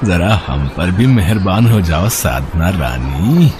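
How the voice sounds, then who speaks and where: A man voicing a leering, menacing city gangster in an animated moral story, with a drawling, mocking delivery. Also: sits low, slow pace, noisy background, full character voice.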